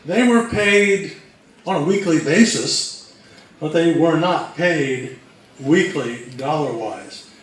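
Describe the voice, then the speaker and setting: A man co-presenting a measured, informational talk. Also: a little high, lively intonation. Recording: very echoey, distant mic, faint noise.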